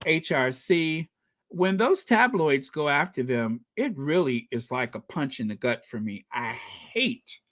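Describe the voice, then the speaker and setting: A man hosting a casual livestream, chatting with viewers and reading their comments aloud, animated and quick to laugh. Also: fairly high pitch, dry recording, studio quality. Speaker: livestream host.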